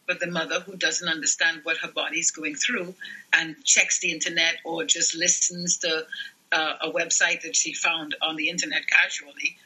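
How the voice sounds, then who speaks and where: A middle-aged man with a high, husky voice. His tone is very bright. He explains things earnestly as a specialist guest. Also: flat delivery, normal speed, poor audio. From Trinidad and Tobago. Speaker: newborn care and lactation specialist. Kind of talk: podcast interview guest.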